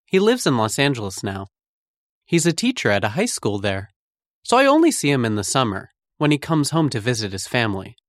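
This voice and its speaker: A man reading a scripted textbook monologue about a friend, in a lively, even storytelling manner. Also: medium pitch, brisk, dry recording, studio quality.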